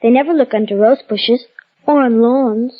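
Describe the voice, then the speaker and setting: A woman playing an excited, secretive little girl in an old radio drama. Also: on the high side, moderate pace, close to an everyday voice. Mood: eager, secretive. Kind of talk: mid-century American radio drama acting. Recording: muffled old radio recording.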